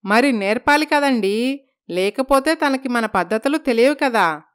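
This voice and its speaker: A woman voicing a stern, commanding middle-aged mother-in-law who admonishes with both affection and threat in an animated story. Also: on the high side, measured pace, clear, resonant tone. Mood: stern warning.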